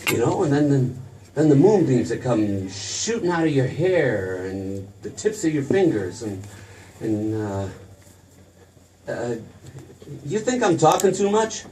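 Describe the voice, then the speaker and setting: An actor playing an eager young small-town dreamer in a radio drama, stammering and excitable, with a folksy manner. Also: male voice, mid-range voice, a little slow, long pauses. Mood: flustered, playful. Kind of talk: old-time radio drama stage acting. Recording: noisy stage recording from far off.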